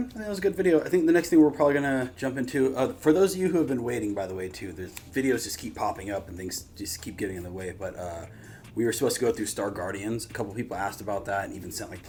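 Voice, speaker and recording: A man's voice: fairly low pitch, fast, darkish tone, slight background noise. A man hosting a YouTube reaction channel, talking casually in an informal, rambling, slangy way.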